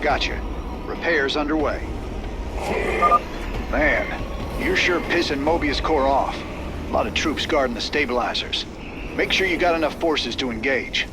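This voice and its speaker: An actor playing a gruff, weary middle-aged frontier commander with a folksy Southern American drawl in a video game. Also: male voice, a little high, a little slow, pauses often, heavy background noise, lightly acted. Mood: urgent, gruff. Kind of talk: video game cinematic and mission dialogue acting.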